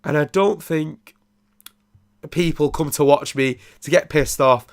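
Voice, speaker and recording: A man's voice: fairly high pitch, steady tone, a little slow. A young man, congested and nasal from a throat infection, hosting a casual solo podcast and rambling with many ums and restarts. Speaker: podcast host.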